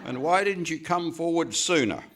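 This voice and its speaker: A man playing a pompous, excitable police inspector in a theatrical radio comedy parody. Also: a little high, normal speed, a crowd in the background, close to an everyday voice. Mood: blustering, triumphant. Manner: theatrical, blustering. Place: live on stage in a hall.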